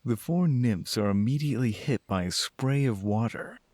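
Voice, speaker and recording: A man's voice: mid-range voice, lively intonation, normal speed, darkish tone. A man narrating a scripted fantasy audio drama as storyteller.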